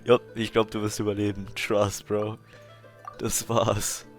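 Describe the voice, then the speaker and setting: A German man with an unhurried way of talking comments casually on a game. His asides are dry and sarcastic, and music plays behind him. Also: fairly low pitch, monotone. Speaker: game streamer.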